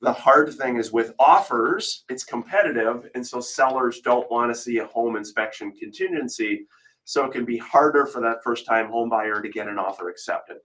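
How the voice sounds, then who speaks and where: A man's voice: mid-range voice, normal speed, a bit bright, rough recording. Slightly froggy American male radio host. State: battling a cold. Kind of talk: live call-in real estate radio talk.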